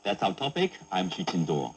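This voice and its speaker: A man's voice: low voice, wildly swooping pitch, normal speed. A man hosting a broadcast news interview, heard over a shortwave radio. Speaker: news talk show host. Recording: noisy humming shortwave radio reception.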